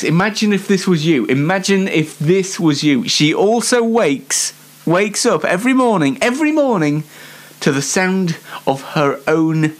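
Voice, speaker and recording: British man, comic show host, very animated intonation, rambling, self-mocking, unscripted news commentary. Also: a little high.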